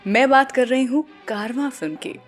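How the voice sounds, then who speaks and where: A woman's voice: high-pitched, moderate pace. A woman with an Indian accent hosting a scripted music radio show, telling little stories and mixing English words into her Hindi.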